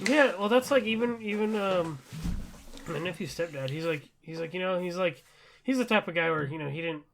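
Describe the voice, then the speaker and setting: A young adult man co-hosting a casual chat in an enthusiastic, excitable way. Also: sits high, brisk, creaky, noisy background. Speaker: podcast co-host.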